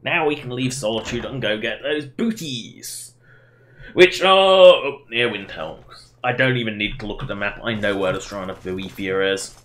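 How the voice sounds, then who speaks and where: A man's voice: on the low side, normal speed, fairly bright tone. A man doing casual live game commentary, rambling and self-deprecating as he chats to his viewers. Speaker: game livestreamer.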